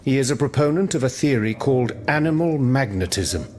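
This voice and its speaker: An actor playing an earnest, thoughtful scientist of old France in a video game, speaking in a formal, reflective way. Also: male voice, mid-range voice, animated, fairly quick, a little mellow, slight background noise, natural voice. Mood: explanatory. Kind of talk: video game cutscene dramatic acting.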